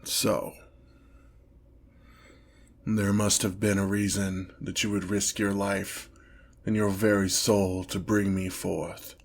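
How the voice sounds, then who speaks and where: Man voicing a slow, pompous, low-voiced demon lord. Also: little pitch movement, creaky, exaggerated voice. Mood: imperious, menacing. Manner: pompous, theatrical, deliberate drawn-out delivery. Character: imperious ancient male demon lord, menacing, exasperated by mortals. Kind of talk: ASMR fantasy roleplay monologue.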